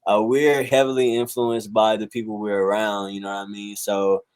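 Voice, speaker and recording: Male rapper in his twenties as guest, lowish flat delivery, loose slangy chat. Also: normal speed, clear, resonant tone, no room sound. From United States, South Carolina and Northern California. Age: 20s. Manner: slangy, rambling, frequent "you know" and "like". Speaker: musician and rapper being interviewed. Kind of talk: casual interview chat.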